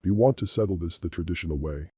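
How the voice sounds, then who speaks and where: A hostile alien zealot for a space game, extremely husky and dark. He is proud and contemptuous, throwing out his challenges at a quick pace. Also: sits low, no echo. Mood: scornful. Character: scornful alien warrior hardliner, hostile and proud.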